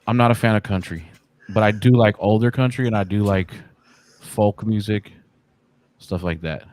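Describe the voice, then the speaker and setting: Chill slangy guy in his 40s, kinda low voice, dry sound, cusses a lot. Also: moderate pace, darkish tone, no echo. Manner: laid-back, profane, slangy, many fillers. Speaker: podcast guest. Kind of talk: casual chat.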